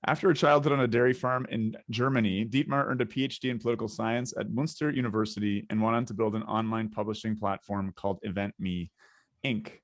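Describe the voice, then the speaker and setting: A Canadian man hosting a podcast interview, talking in a relaxed way with hesitant fillers. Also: lowish voice, fast talker, no echo, studio quality. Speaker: podcast host.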